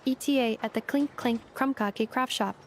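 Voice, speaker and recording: A woman's voice: on the high side, normal speed, slight background noise, lightly acted. A woman playing a polite robot guide in a video game, formal, precise and matter-of-fact. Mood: analytical. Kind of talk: animated video game voice acting. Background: game music.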